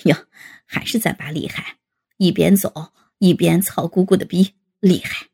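A woman voicing a cheeky young man who teases and laughs, in a low, gravelly voice. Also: steady tone, unhurried, darkish tone, full character voice. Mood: teasing. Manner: teasing, laughing. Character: cheeky young adult nephew.

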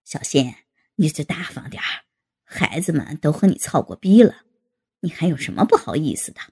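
A woman voicing a jovial, coaxing man in his fifties, an eldest brother and father, in a deep, husky, gravelly voice. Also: sing-song, normal speed, a little mellow, heavily acted. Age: 50s. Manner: jovial, urging, chuckling.

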